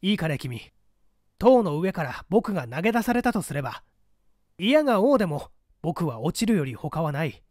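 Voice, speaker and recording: A man's voice: fairly high pitch, big pitch swings, normal speed, darkish tone, no echo, clean studio sound. A man voicing a defiant, sardonic party operative in an audiobook drama, arguing in formal, rhetorical speech. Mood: resolute. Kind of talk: dramatic audiobook character dialogue.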